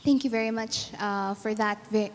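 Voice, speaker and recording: A woman's voice: mid-range voice, steady tone, normal speed. A woman interviewing someone conversationally, searching a little for her phrasing. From Philippines. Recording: echoey hall with long reverb.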